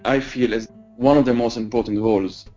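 A young man, an editor, gives measured, reflective interview commentary. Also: medium pitch, fairly even intonation, mellow, soft background music. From Lebanon. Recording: slightly dull lo-fi sound.

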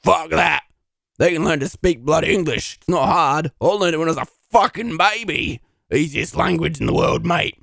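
A man doing a gravelly, brash Aussie bum. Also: on the high side, normal speed, fairly bright tone, dry recording, clean studio sound. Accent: Australian. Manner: brash, swearing, says mate. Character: crude boastful Australian male bum. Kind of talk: comedy audio drama.

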